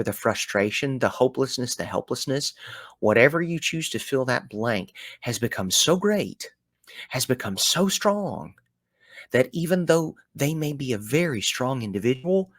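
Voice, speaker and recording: A man with a Southern US accent talking through his thoughts in a reflective solo show, animated, with folksy turns of phrase and sudden loud bursts. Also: mid-range voice, dry recording, clean studio sound. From American South. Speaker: podcast host.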